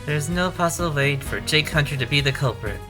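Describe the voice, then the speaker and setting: A man's voice: fairly high pitch, a little fast, over loud music, lightly acted. A man playing an earnest, determined defense attorney in a courtroom audio drama, arguing emphatically. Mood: insistent.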